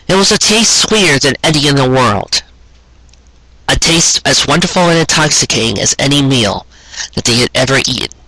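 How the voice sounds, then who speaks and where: Bright, slightly husky man reading dramatically. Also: medium pitch, no echo, poor audio. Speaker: fan fiction reader and show host. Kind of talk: expressive narrated story reading.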